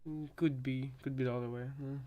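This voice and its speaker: A man's voice: mid-range voice, steady tone, brisk, muffled recording, background noise. A man co-commentating on game voice lines in casual chat, musing and repeating himself as he works out meanings.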